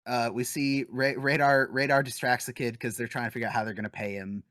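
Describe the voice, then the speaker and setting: Dry-sounding podcast host. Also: male voice, medium pitch, fast, no room sound. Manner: relaxed, conversational, trails off quietly. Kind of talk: casual podcast chat.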